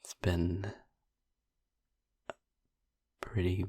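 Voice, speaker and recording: A man playing a brooding, tender vampire boyfriend in an intimate roleplay audio drama, speaking softly and haltingly in a breathy voice that often nears a whisper. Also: low voice, little pitch movement, no echo, clean studio sound, full character voice. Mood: tender, confiding. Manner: halting, soft, hesitant, with long pauses. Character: brooding, tender male vampire boyfriend, haunted by his turning.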